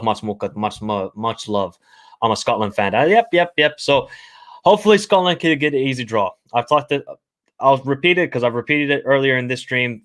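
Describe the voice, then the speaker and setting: A man's voice: mid-range voice, a bit bright. A young man with an American accent hosting a casual football livestream, reading viewer comments and giving blunt opinions, often repeating himself. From half American, half Finnish, living in Finland. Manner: chatty, opinionated, repeats phrases.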